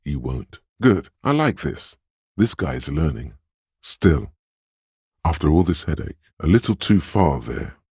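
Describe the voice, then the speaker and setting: A sly, persuasive voice inside the head for a video game. It sits at the very bottom of the male range and is thick with husk. The delivery is measured and knowing, sizing people up and nudging the listener, in a close, dry recording. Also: rumbling low, very animated, a little mellow, slightly rough, no room sound, full character voice. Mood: cautioning, then sly, appraising. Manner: measured, knowing, insinuating coaching. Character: Suggestion, a sly insinuating inner voice reading people and coaching. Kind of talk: scripted video game narration.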